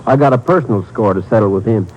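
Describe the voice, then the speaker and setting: An actor playing a folksy, plain-spoken rural Texas sheriff in a vintage radio drama. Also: male voice, on the low side, quick pace, darkish tone, dull audio, natural voice. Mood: keen, determined. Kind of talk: 1950s radio drama acting.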